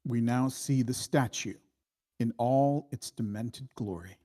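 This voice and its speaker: A man narrating a screenplay's stage directions at a table read, with deliberate, dramatic phrasing. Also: medium pitch, warm tone.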